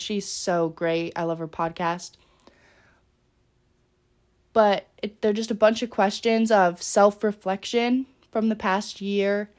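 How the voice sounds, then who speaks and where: A young woman hosting a solo podcast in a casual, rambling way, her voice a little raspy from being sick. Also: mid-range voice. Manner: chatty, rambling, with many fillers. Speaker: podcast host.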